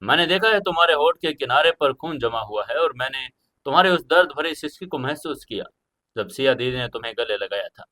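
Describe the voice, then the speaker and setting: Man voicing a commanding, loving woman, sharp tone. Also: mid-range voice, lively intonation, a little fast, low-quality recording. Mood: stern, hurt. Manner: stern, emotional, protective.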